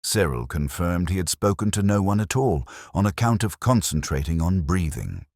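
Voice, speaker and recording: A man narrating a mystery story as a scripted reading, in a measured, dryly literary manner. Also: sits low, sing-song, darkish tone, dry recording, clean studio sound.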